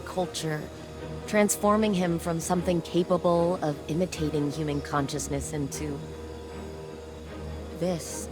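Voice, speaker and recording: An actress playing a weary, guilt-ridden scientist in a video game, speaking in an earnest, reflective, hesitant monologue. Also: female voice, low voice.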